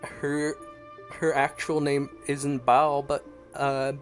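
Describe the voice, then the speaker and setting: A man's voice: a little high, little pitch movement, takes it slowly. A man giving casual reaction commentary as a game streamer, musing aloud and exclaiming. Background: game music.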